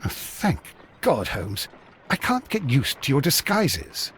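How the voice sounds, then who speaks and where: Excitable English gentleman sidekick, swooping pitch. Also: slow, slight background noise, heavily acted. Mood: worried, alarmed. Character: fussy, anxious Victorian gentleman, loyal detective's companion. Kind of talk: scripted game dialogue.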